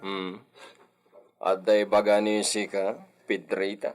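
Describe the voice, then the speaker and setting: A man's voice: on the low side, monotone, slow, soft background music. An actor playing a lordly señor and father in an audio drama, drawing out words and sprinkling in Spanish. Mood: curt, suspicious. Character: haughty Spanish-speckled señor, doting father of little girl. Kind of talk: Filipino audio drama acting.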